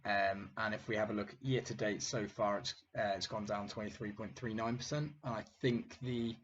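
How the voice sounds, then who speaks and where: A young man hosting a casual finance video, his voice croaky from illness, talking hesitantly with many fillers. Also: fairly low pitch, steady tone, normal speed. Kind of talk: casual market commentary. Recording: dull lo-fi sound, faint noise.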